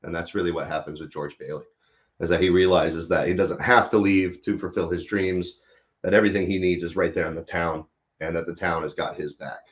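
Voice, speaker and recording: Very deep-voiced man chatting, dull audio. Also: steady tone, fairly quick. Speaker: theater company guest. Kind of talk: casual interview chat. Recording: dull, lo-fi live stream audio.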